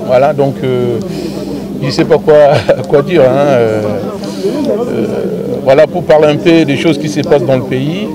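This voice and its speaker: A man's voice: on the high side, a little slow, crowd noise. A middle-aged man with a Congolese accent being interviewed informally, speaking hesitantly and repeating words as he goes. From Democratic Republic of the Congo. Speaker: interview guest, deputy mayor. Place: outdoors in a grassy garden, seated. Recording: outdoor recording with people chattering.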